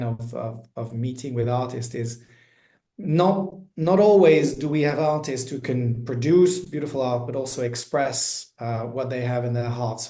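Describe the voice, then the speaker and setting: Mellow-voiced male webinar host in an echoey room. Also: mid-range voice. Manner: hesitant, many fillers and restarts. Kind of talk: moderating a webinar.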